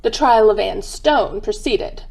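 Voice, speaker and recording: A woman's voice: mid-range voice, expressive, measured pace, gravelly, darkish tone, breathy edge, full character voice. A woman playing a knowing, dry narrator of a radio crime drama. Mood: measured. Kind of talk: scripted old-time radio narration.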